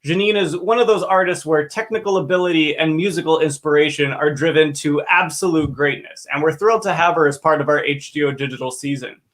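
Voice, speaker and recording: A man's voice: on the high side, brisk, few pauses, a bit bright. Upbeat man hosting an opera pre-show. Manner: upbeat, enthusiastic, clear announcing. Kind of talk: scripted livestream presentation.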